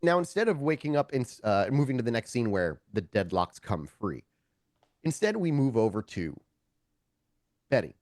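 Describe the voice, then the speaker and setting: A man's voice: mid-range voice, big pitch swings, normal speed, a little mellow, dry recording, studio quality. A man narrating a tabletop roleplaying game as storyteller, improvising descriptive scenes and rephrasing as he goes.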